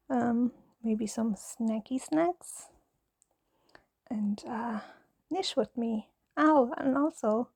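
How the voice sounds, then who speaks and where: Husky irish woman whispering softly, slow and nervous, lots of vocal fry, dry close clip-on mic. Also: fairly high pitch, dry recording. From Ireland. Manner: gentle, hesitant, nervous, with many fillers. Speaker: knitting ASMR creator, mother. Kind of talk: soft-spoken ASMR chat. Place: home, in pajamas. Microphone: clip-on mic on pajamas.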